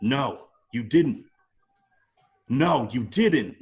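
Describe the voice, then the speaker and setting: A man's voice: medium pitch, lively intonation, gravelly, a little mellow, heavily acted. A man playing a cocky, hot-tempered space outlaw in an amateur film table read, insistent and taunting. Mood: anguished rage. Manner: taunting, insistent, drawn-out demands. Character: cocky male space outlaw turned anguished and enraged.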